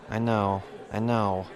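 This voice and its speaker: An actor playing a patient, easygoing pirate starship captain, calm and informal, in an audio drama. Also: male voice, lowish voice, drawn-out words, some chatter behind. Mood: patient, reassuring.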